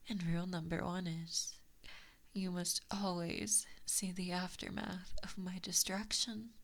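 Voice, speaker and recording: Female actor as giant kitsune villainess for ASMR roleplay: unhurried, taunting whisper with creaky edges, mock-sweet and possessive, very close mic. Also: on the low side, little pitch movement. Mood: smug, threatening. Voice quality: whispery, breathy. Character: evil, possessive giant kitsune fox-woman, teasing and cruel. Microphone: close binaural microphone.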